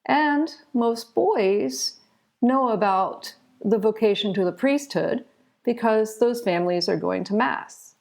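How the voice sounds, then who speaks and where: A woman's voice: fairly high pitch, lively intonation, slow pace, creaky, a little mellow. A middle-aged American woman speaking conversationally as an interview guest, explaining things through chatty anecdotes. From United States. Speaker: interview guest, children's author.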